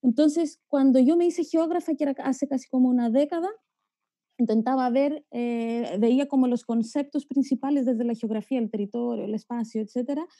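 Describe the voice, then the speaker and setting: A woman speaks as an academic panelist in a fairly high but very soft, dark-toned voice. The sound is dry and close. She thinks aloud informally, often adding little tags to check understanding. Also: brisk, no echo. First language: Greek. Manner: reflective, frequent checking tags. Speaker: geographer, panelist. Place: remote online video forum.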